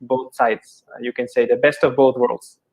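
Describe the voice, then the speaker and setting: A middle-aged Dutch-born man who speaks English with an Indian accent, explaining yoga as an interview guest in a measured, gentle way with many fillers and repeated words. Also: medium pitch, level tone, poor audio. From Netherlands. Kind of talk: thoughtful conversational explanation.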